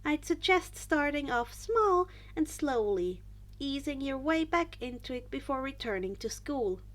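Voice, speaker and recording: Woman voicing a helpful male doctor, pitched high. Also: expressive, measured pace, a bit bright. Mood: matter-of-fact, reassuring. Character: matter-of-fact male doctor reassuring a young patient.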